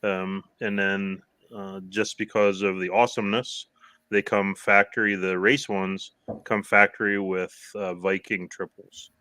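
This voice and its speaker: A man talking as a guest in a casual online chat, relaxed, with dry humor and hesitant fillers. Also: sits low, unhurried, clean tone, dry recording. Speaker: guest from a parts company. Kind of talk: casual video-call chat.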